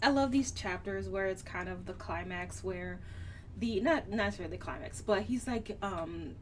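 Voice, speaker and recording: A woman's voice: mid-range voice, moderate pace. A woman co-hosting a podcast, chatting casually and informally in short reactions. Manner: informal, conversational. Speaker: podcast co-host. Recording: slightly distant mic, faint noise.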